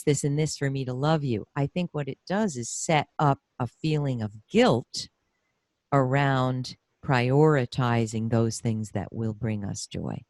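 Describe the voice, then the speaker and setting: A middle-aged woman hosting a conversational interview, animated and effusive as she thinks aloud. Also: very deep voice, dry recording, clean studio sound. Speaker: podcast host and interviewer.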